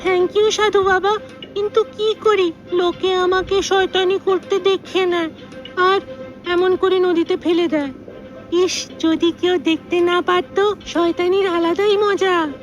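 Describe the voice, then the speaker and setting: A woman acts out a cheeky young prankster boy from a village cartoon. The voice is very high and childlike, with slow, teasing phrasing. Music plays clearly underneath. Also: fairly even intonation, dull audio. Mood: cheeky, eager. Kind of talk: cartoon dialogue acting.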